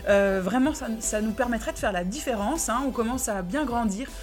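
Woman cohosting a podcast, chatty, mid pitch, soft music underneath. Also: fairly quick. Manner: lively, chatty, with fillers. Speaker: podcast co-host. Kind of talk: casual chat and scripted outro.

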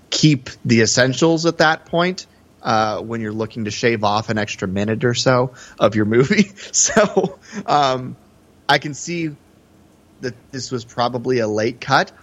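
Guy cohosting a movie podcast, average pitch, kinda musing and halting, slightly lo-fi sound. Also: expressive. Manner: hesitant, musing. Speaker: podcast co-host. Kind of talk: casual film discussion. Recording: slightly dull, lo-fi audio.

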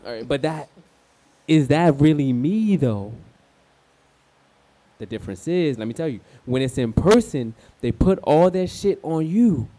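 A man's voice: fairly high pitch, expressive, a little slow, mellow. An actor playing a candid, streetwise young male escort as a radio show guest, with an American accent and slangy, confiding talk. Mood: candid, wry. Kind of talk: radio play acting, slangy conversational monologue.

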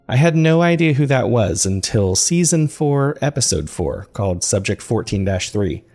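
Horror-podcast investigator: low, gravelly, confiding, measured documentary narration over faint music. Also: male voice, a little mellow. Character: male paranormal podcast host, earnest, uneasy investigator. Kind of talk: scripted documentary-style narration, confiding recap.

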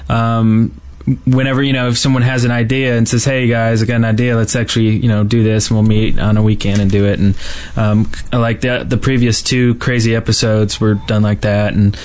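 A man's voice: on the low side, level tone, brisk, hardly any pauses, darkish tone. Rambling male podcast co-host. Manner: rambling, hesitant. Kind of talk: casual group chat.

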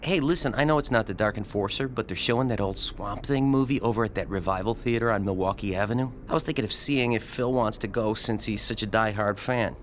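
A man's voice: on the low side, fast talker, hardly any pauses, a little hiss or hum, natural voice. A man playing a friendly, enthusiastic comic book fan with a teasing, keen manner in a radio drama.

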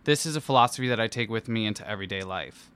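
A man's voice: on the low side, rapid-fire, a bit bright, clear, resonant tone. A man reading his personal essay aloud in a theatrical, playful, self-deprecating manner. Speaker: musical theatre performer and dance captain.

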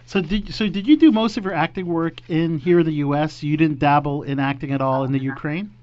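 A man's voice: fairly high pitch, steady tone, fairly quick, a little mellow. Upbeat male interview host, lo-fi. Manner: upbeat, short enthusiastic reactions. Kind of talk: interview chat.

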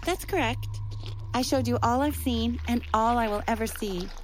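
A woman playing a calm, formal, imperious bug entity that lives inside a man's head, in an audio drama. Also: a little high, normal speed, close to an everyday voice, low-quality recording. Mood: cool, detached. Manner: cool, formal, detached, imperious. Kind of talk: audio fiction acting. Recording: voice under loud music.

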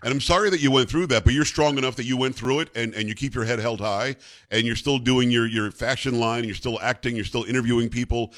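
A man's voice: mid-range voice, fairly even intonation, fast, fairly bright tone. Man, talk show host, casual interview, friendly, conversational. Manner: friendly, conversational, stumbling repeats.

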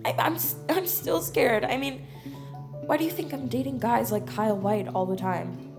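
A woman's voice: fairly high pitch, moderate pace, music in the background, lightly acted. A woman playing a guarded, blunt but vulnerable American teenage girl in an audio drama, earnest and slangy. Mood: vulnerable, confessing. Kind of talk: naturalistic audio drama acting.

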